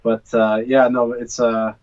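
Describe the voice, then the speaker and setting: A man chatting casually as an interview guest, hesitant and halting as he feels for his words. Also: medium pitch, little pitch movement, over a noisy crowd. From born in Bulgaria, raised in Canada from age six. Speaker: interview guest, small business owner. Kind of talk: casual chat. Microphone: video call. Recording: dull, lo-fi video call.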